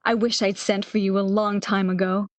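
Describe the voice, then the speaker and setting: A woman's voice: mid-range voice, level tone, moderate pace, no room sound, close to an everyday voice. A woman playing a frail, fearful, lovesick woman, pleading emotionally in an amateur radio drama. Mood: yearning.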